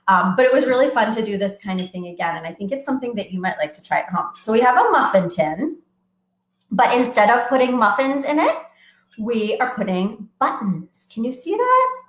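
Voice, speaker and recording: A middle-aged woman, a children's librarian, talking to small children in a bright, sing-song way from her home. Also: a little high. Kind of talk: children's storytime livestream. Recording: echoey room.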